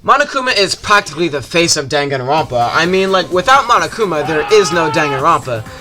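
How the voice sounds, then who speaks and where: A man's voice: on the high side, very animated, brisk, loud music behind the voice. A man giving a casual, jokey, scripted video game review as a YouTuber, with some swearing.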